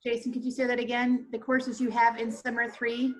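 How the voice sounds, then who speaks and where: A woman's voice: on the high side, flat delivery, a little fast, poor audio. A woman on college staff explaining things informally and conversationally on a video call. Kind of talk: casual meeting explanation.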